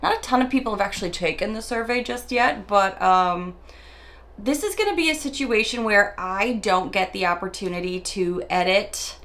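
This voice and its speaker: A woman talks straight to camera while doing her makeup, her morning voice still raspy and her pitch sitting in an ordinary middle range. She rambles a little nervously, stumbles over words and laughs at herself along the way. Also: moderate pace, a bit bright. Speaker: beauty vlogger. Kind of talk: chatty get-ready-with-me monologue.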